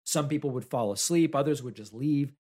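A man from Argentina whose first language is Spanish hosts a solo political commentary segment. He talks in a conversational, argumentative way and answers objections he raises himself. His voice sits at a middle pitch for a man. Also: fairly quick, darkish tone.

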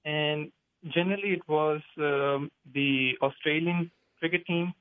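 A man's voice: a little high, fairly even intonation, unhurried, smooth. Man from Pakistan calling on a phone line. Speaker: caller. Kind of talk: casual question on a call-in show. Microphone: telephone. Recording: tinny, narrow phone line.

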